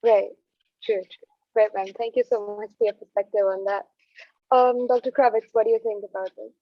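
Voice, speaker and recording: A woman's voice: medium pitch, fairly even intonation, moderate pace, dry recording. Woman moderator on dull dry call audio. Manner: brief affirming interjections. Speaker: panel moderator. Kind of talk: online panel discussion.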